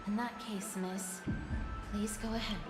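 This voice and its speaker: An actress playing a polite, reserved young goddess of death who speaks formally and earnestly. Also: female voice, medium pitch, fairly even intonation, a little slow, natural voice. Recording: roomy, loud game music.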